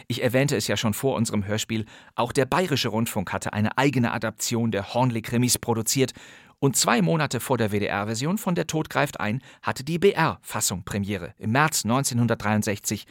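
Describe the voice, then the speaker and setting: A man's voice: medium pitch, expressive, normal speed, no room sound. Man narrating a podcast, dry close sound. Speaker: podcast host. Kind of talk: scripted narration about old radio crime plays.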